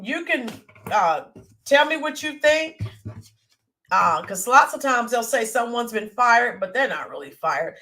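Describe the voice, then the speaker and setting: Raspy middle-aged woman livestreaming, highish voice, rambles and says hi to people in chat. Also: sharp tone. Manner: rambling, greets chat viewers by name. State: allergy-roughened voice. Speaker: livestream host. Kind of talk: casual livestream chat with viewers.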